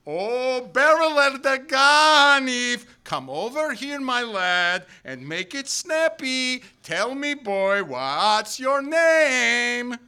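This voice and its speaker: Brief: male reader putting on a cruel old schoolmaster, high, lilting sing-song, slow and crisp. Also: sing-song, pushed and bright, heavily acted. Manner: taunting sing-song chanting. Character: stern mocking old male Hebrew schoolteacher.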